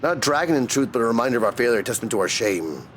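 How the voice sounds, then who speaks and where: A man's voice: mid-range voice, animated, slight background noise, lightly acted. Man voicing an ancient world-weary dragon, solemn. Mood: mournful. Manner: slow, solemn, archaic storyteller delivery. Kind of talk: dramatic reading of video game dialogue.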